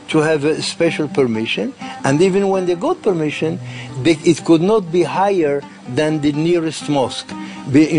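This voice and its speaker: A man from Morocco giving an explanatory documentary interview, haltingly repeating words as he goes. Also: a little high, darkish tone.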